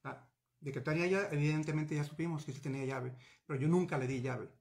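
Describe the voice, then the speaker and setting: Hombre mexicano, cuarentón, entrevistado por webcam desde su despacho; tono algo agudo, habla agitada, gesticula, se corrige a menudo. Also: male voice, fairly high pitch, fast talker, vocal fry. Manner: animated, gesturing, many restarts and fillers. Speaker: interviewee. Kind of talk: recounting a personal story. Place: small home office with bookshelves. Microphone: video call webcam.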